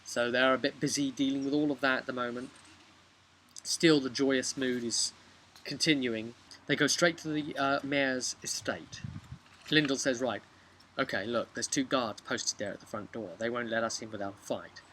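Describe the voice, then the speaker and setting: Bright-voiced young man telling a story. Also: medium pitch, fairly even intonation, normal speed, poor audio. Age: young adult. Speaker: game master recapping a session. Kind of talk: casual vlog storytelling. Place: outdoors on a bench by a brick house. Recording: outdoor webcam with background noise.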